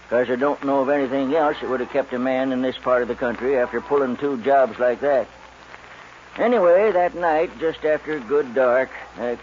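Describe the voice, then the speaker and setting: An actor playing an easygoing, plain-spoken western storyteller, narrating a 1940s radio drama. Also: male voice, mid-range voice, close to an everyday voice. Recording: muffled hissy 1940s radio recording.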